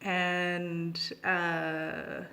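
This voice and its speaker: A woman's voice: lowish voice, drawn-out words. A young adult woman with a Canadian accent tells a personal anecdote in an informal, chatty vlog style. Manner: animated when acting out dialogue, informal. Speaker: storytelling vlogger. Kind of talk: casual anecdotal storytelling.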